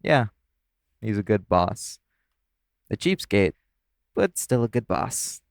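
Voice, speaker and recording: Guy doing a chill teasing club performer for an audio rp, lowish gravelly voice, super casual. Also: animated, slow, no echo, full character voice. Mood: friendly, reassuring. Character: easygoing, teasing male club performer chatting with new coworker. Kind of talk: intimate audio roleplay, casual conversational acting.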